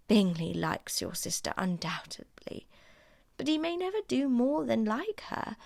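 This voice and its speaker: Woman acting young female confidante, pragmatic, guarded, coolly reasoning, measured, storybook dialogue. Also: medium pitch, creaky. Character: Charlotte Lucas, pragmatic, guarded young Englishwoman friend. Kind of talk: audiobook character dialogue.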